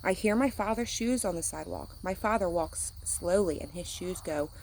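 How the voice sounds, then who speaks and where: A woman's voice: fairly low pitch, normal speed, a little mellow, slight background noise, poor audio. A woman reading a picture book aloud to children, speaking simply like a teacher to young listeners. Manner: acts out sound words.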